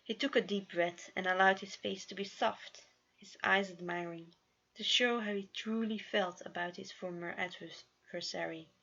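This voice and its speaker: Bright-voiced woman reading a story. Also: mid-range voice, fairly even intonation, moderate pace, gravelly, dull audio. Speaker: amateur story reader. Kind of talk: scripted fanfiction narration. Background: no background atmosphere.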